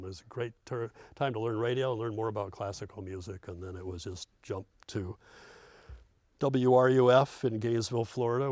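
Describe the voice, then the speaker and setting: An older American man with a fairly deep voice talks in a dry studio. He is a seasoned radio host who reminisces casually, with wry, self-deprecating humour. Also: a little mellow, no echo. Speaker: veteran public radio host.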